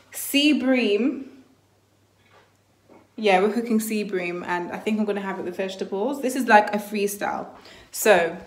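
Chatty young woman in an echoey room. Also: mid-range voice. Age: young adult. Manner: chatty and rambling, often hedging and correcting herself. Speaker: lifestyle vlogger. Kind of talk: casual vlog chat to camera. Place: small rooms at home, bedroom and kitchen. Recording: roomy echo of a small room.

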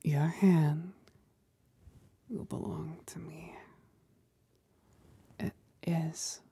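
Woman acting as a slow, whispering demoness. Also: low voice, fairly even intonation, dark tone, dry recording, full character voice. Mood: coaxing, commanding. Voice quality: breathy whisper. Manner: slow, deliberate, soothing yet domineering. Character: possessive female demon companion, seductive, commanding, coaxing. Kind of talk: whispered ASMR roleplay.

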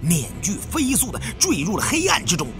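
A man's voice: high voice, wildly swooping pitch, moderate pace, over music. A man narrating a suspenseful story in a theatrical, dramatic audiobook style.

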